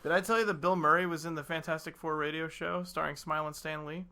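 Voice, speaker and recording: Male co-host and reader: highish pitch, piercing forceful tone, informal comic delivery, dry joking. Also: steady tone, fast talker. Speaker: podcast co-host and story reader. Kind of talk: casual comic chat and story reading. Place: garage.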